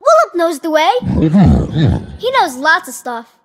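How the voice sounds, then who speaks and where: A plucky young boy hero from a video game, with a very high, wildly animated voice. He is exuberant and playful, calling out bravely in unhurried, bright tones. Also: exaggerated voice. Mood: eager, earnest. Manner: exuberant, playful, heroic exclamations. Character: excitable, brave young boy adventurer with a yeti friend.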